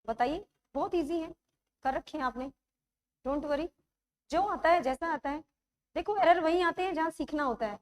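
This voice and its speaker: A woman teacher with a high voice leads an online grammar class, her throat sore. She keeps coaxing the class with quick check-ins and slows right down to spell out examples. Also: slight background noise. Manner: coaxing, checks understanding often; writes examples while speaking, slows to dictate them.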